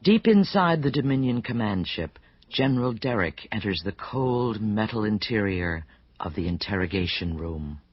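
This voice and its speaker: An actor playing the dramatic narrator of a space adventure radio serial, with scripted suspense. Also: male voice, fairly low pitch, sing-song, moderate pace, slightly rough. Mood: suspenseful. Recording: dull band-limited audio with music behind.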